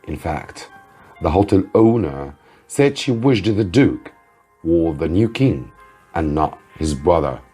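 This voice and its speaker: Slow, low-voiced man reading a story. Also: sing-song, warm tone, breathy edge, faint music behind. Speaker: English teacher reading aloud. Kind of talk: slow storybook narration for learners.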